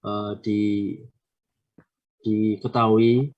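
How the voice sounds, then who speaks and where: A young man presenting his worked solution in an online class, halting and filling pauses with hesitations. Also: on the low side, little pitch movement, darkish tone, muffled recording. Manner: halting, many filler hesitations.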